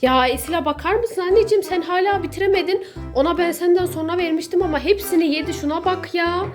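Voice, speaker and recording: A woman's voice: very high voice, fast talker, faint music behind. A woman playing a mother in a children's skit video, instructing, exclaiming and chiding as she goes. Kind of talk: play-acted family skit with child.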